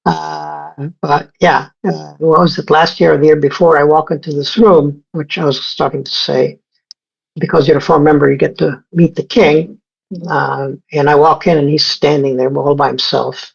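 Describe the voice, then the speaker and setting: A man's voice: fairly high pitch, level tone, moderate pace, gravelly, husky. A man in his sixties from Egypt, a scientist guest in an interview, talking casually with many fillers and restarts. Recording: dull lo-fi sound.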